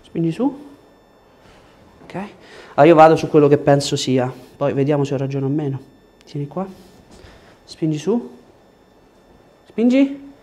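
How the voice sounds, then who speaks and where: A man, working as a chiropractor and giving short, gentle instructions and questions during an exam. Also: a little high, a little slow, pauses often. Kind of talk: hands-on exam, instructing a patient. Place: small treatment room indoors.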